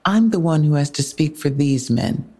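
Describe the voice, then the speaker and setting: A woman playing a composed police officer in an audio drama, measured and polite but unyielding. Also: low voice, lively intonation, warm tone. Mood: firm, composed. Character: firm, composed female police officer questioning a witness. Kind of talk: naturalistic audio drama dialogue.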